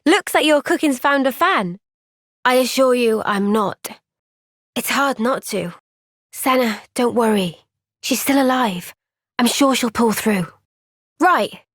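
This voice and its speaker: A woman playing an earnest, thoughtful young soldier in a fantasy video game cutscene, speaking in a gentle, measured, reflective way. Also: on the high side, animated, dry recording, clean studio sound. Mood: gentle, reassuring.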